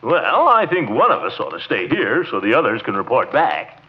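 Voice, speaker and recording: An actor playing a pompous, excitable middle-aged small-town commissioner with an American accent in a 1940s radio comedy. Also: male voice, medium pitch, normal speed. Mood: self-important. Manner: pompous, excitable, self-important. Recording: muffled old radio recording.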